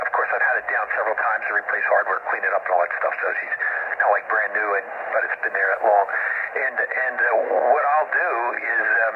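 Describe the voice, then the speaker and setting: A man who is an amateur radio operator, chatting casually about antennas and rambling with many fillers and restarts. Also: mid-range voice. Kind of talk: casual technical radio chat. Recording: noisy, tinny radio transmission.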